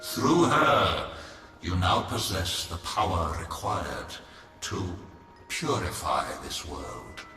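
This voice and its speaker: A man playing a grave, courteous, mysterious council elder in a fantasy video game, formal and measured. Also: sits low, very animated, heavily acted. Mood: benevolent, prophetic. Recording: cavernous long reverb over music.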